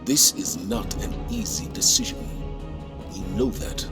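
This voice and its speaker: A man playing a principled, burdened military commander in a video game, speaking in a grave, measured, formal way. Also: mid-range voice, huge pitch swings. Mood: measured, resolute. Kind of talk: video game cutscene acting. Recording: orchestral music behind the voice.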